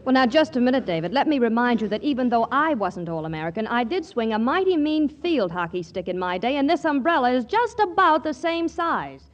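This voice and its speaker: An actress playing a feisty, romantic, quick-witted young woman in a 1940s radio comedy, with theatrical hesitations. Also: a little high, big pitch swings, lo-fi sound. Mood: tart, sparring. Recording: vintage 1940s radio recording, faint music.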